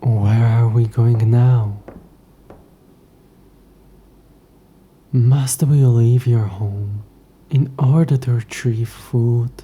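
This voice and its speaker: A man playing a haughty, possessive ancient demon in a scripted audio roleplay, with a hushed, breathy voice and dry, condescending, formal speech. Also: mid-range voice, fairly even intonation, slow pace, slight background noise, lightly acted. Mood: grudging, curt.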